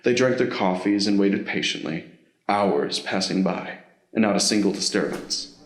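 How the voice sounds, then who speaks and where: Male narrator for a scripted audio drama, lower register, roomy echoing recording. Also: moderate pace.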